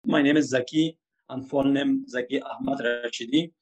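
A man from Afghanistan, an ESOL student, answering podcast questions earnestly and haltingly, with frequent fillers. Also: mid-range voice, fairly even intonation, slightly rough, lo-fi sound.

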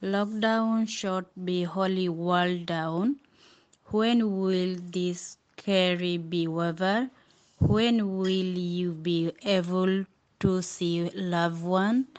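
A woman from Bangladesh, a student reading her own poem slowly and carefully. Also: fairly low pitch, steady tone, a little mellow, muffled recording. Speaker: language student.

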